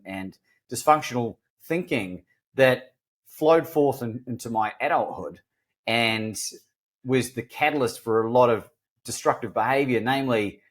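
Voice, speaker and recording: Earnest man with a Kiwi-Australian accent. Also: mid-range voice. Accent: mixed New Zealand and Australian. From New Zealand. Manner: earnest, self-deprecating, frequent restarts. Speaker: podcast guest. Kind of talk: candid storytelling interview.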